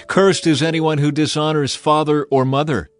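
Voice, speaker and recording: A man voicing a solemn, commanding prophet addressing his people in a dramatized scripture reading, declamatory and deliberate. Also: fairly high pitch, little pitch movement, voice pushed up, close to an everyday voice. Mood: stern, condemning. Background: music behind the voice.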